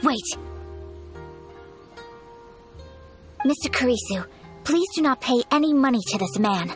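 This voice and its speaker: A woman's voice: high-pitched, animated, a little fast, faint music behind. A woman voicing a polite, dutiful young noble swordswoman in a video game, speaking formally and graciously. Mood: composed, resolute.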